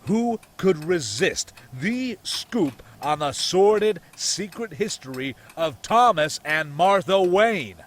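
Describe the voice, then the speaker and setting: Slow, sneering mayor played by a man. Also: high-pitched, expressive, slight background noise. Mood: insinuating. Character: sneering, gossipy middle-aged city mayor. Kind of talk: motion comic voice acting.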